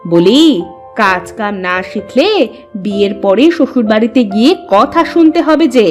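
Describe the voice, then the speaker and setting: A woman's voice: a little high, animated, normal speed, soft background music, lightly acted. Woman voicing a nagging cartoon village mother. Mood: stern warning. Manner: nagging, reproachful. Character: scolding, hardworking middle-aged village mother. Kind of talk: animated moral-story cartoon dialogue.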